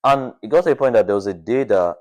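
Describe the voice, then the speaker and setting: A young man chatting casually as a podcast guest, thinking aloud in a halting, anecdotal way. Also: medium pitch, animated, a little mellow. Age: young adult. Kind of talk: casual chat.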